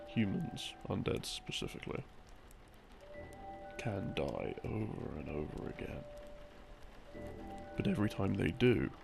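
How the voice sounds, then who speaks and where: A man telling a rambling story as a sleep aid, in a halting, musing way with pauses, in the role of a boyfriend explaining things. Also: low-pitched. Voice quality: creaky, gravelly. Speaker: audio roleplay creator playing a boyfriend. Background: background music.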